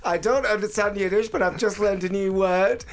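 A man from Bolton in England in his forties, co-hosting a casual radio chat show, animated and teasing, laughing often. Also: high voice, moderate pace, soft background music. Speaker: co-host.

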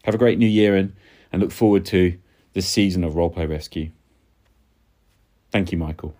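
A man's voice: low voice, normal speed, mellow. A man hosting a podcast, talking in a conversational way to his listeners. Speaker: podcast host.

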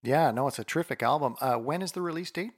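A man's voice: a little high, fast. A male podcast host asking a musician questions in an encouraging, conversational way. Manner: friendly, encouraging interviewer. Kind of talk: casual interview hosting.

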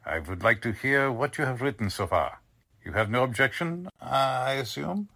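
A man's voice: medium pitch, animated, normal speed, gravelly, sharp tone, full character voice. A man playing a smugly polite, condescending Nazi commander in a 1940s-style radio drama, speaking formally. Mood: smug politeness.

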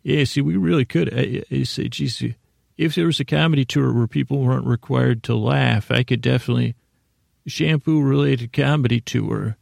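An American man hosts a bedtime podcast in a heavily creaky, gravelly voice at a middling pitch. He meanders through tangents, halting and trailing into long pauses. The sound is close and dry, with no room around it. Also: steady tone, darkish tone, no echo. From United States. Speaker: podcast host. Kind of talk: rambling bedtime podcast monologue.

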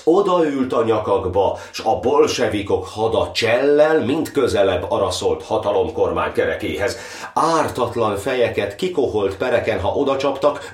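Deep-voiced, solemn persecuted cardinal. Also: male voice. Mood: impassioned, bitter. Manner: declamatory, elevated, measured verse delivery. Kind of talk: theatrical verse monodrama for radio.

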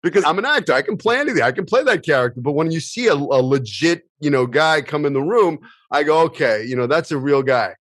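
A man's voice: a little high, expressive, fast talker, few pauses, fairly bright tone, no echo, clean studio sound. A middle-aged man, a podcast host and actor, chatting casually with lots of "you know" fillers.

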